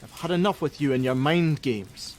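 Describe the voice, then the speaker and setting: A man's voice: fairly high pitch, moderate pace, lightly acted. A middle-aged man, playing an irritable, evasive father who works on a helpline in a radio play. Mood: angry, exasperated. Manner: curt, sarcastic. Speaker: helpline worker.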